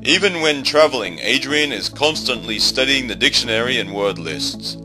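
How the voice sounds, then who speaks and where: A man reading scripted documentary narration in a measured, wry way. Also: mid-range voice, lively intonation, poor audio. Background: music.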